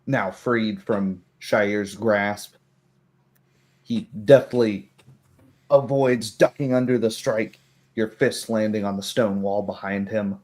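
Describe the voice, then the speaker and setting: Low voiced guy game mastering a fantasy rpg, really slow n descriptive. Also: steady tone, darkish tone. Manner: measured, descriptive storytelling. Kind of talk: narrating a tabletop roleplay game.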